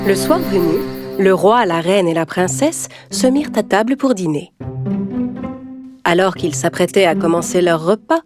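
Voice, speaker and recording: A woman narrating a scripted children's fairy tale with expressive storytelling delivery. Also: on the low side, normal speed, music in the background.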